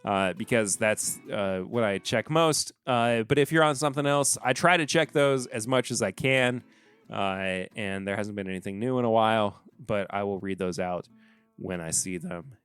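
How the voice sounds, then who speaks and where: A man's voice: lowish voice, moderate pace, a bit bright, smooth, no room sound, soft background music. A man hosting a podcast, wrapping up a solo episode in a rambling, chatty way with joking asides. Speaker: podcast host. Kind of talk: casual solo podcast outro.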